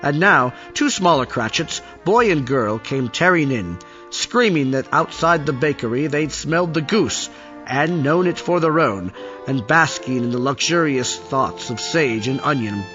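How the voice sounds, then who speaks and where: A man's voice: medium pitch, animated, measured pace, fairly bright tone. A man reading scripted storybook narration for an audio play, on a dull recording with music behind.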